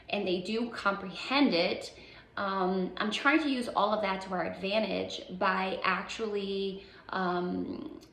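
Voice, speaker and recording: Woman w portuguese as first language, slow thoughtful vlog talk, stops and starts, roomy echo. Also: mid-range voice. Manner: halting, reflective, often checks with "right?". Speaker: homeschooling mother and video host. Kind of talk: informal explanatory vlog talk. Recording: echoey room with faint background noise.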